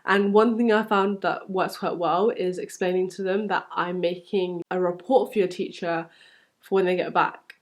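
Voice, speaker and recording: A woman's voice: on the low side, fairly even intonation, moderate pace. A young woman with a London English accent, giving encouraging advice in a casual, conversational vlog style as a supply teacher. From London, England.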